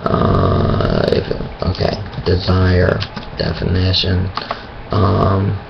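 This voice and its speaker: A man talking about his faith in a hesitant, thinking-aloud way, with a raspy voice and many pauses and restarts. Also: low-pitched, very animated, dull audio, very noisy. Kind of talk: casual monologue on faith.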